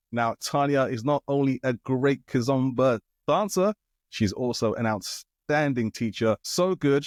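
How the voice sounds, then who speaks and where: A man's voice: medium pitch, darkish tone, no echo, clean studio sound. A man hosting a podcast, asking questions in a friendly, casual way and leaning often on filler phrases. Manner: friendly, leans on "you know" fillers. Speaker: podcast host.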